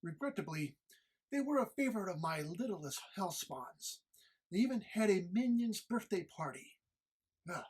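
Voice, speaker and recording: A woman playing a suave male devil in an audio drama read-through, speaking formally and deliberately with smug, arch menace. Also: medium pitch, animated, darkish tone, slightly rough. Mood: dry disdain. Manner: formal, deliberate, archly sinister phrasing. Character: Old Scratch, suave devilish male fiend, smugly menacing.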